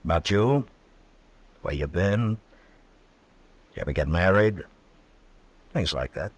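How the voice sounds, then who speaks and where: Old west lawman, real deep n husky, steady, few words, old radio show. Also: slow, heavily acted. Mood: guarded, casual. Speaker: radio drama actor. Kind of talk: old-time radio western acting. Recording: vintage radio drama recording.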